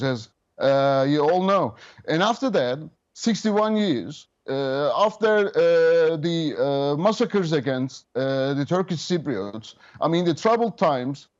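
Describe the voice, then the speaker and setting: A man in his fifties, a government representative speaking over a remote link, measured and official with frequent hesitations. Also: a little high, a little mellow, lo-fi sound. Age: 50s. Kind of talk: remote interview guest.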